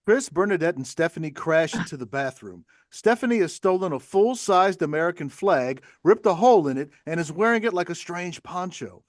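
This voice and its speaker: Higher-pitched man reading stage directions. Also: animated, moderate pace, few pauses. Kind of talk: scripted narration at a table read.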